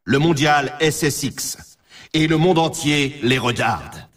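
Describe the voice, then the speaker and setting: A man's voice: on the high side, slow, distant mic. A man playing a grandiose, emphatic announcer for an extreme snowboarding championship in a video game. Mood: grandiose, solemn. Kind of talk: scripted dramatic sports game announcing.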